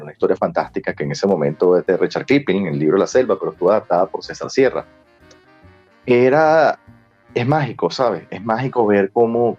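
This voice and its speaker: A man's voice: low voice, a little mellow. A man with a Venezuelan accent answering interview questions in an emphatic, explanatory way. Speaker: guest, screenwriter and former child actor. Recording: dull lo-fi sound with music behind.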